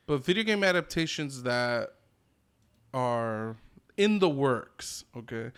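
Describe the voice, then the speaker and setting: A man's voice: high-pitched, fairly bright tone. Slangy, enthusiastic man chatting casually. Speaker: podcast co-host. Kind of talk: casual group chat about video game movies.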